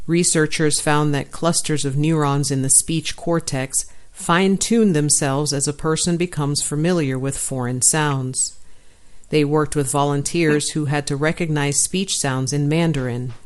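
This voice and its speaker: A voice reading a science news article aloud in an even, neutral, scripted way. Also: medium pitch, unhurried, clear, resonant tone. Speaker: article audio narrator.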